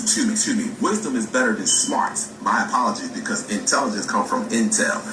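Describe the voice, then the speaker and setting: Echoey, impassioned man in his forties. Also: on the low side. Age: 40s. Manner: emphatic, repeats phrases, challenges the listener, swears. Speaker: speaker on a spiritual talk video. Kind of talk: impassioned, unscripted preachy talk. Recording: echoey, distant mic with music behind.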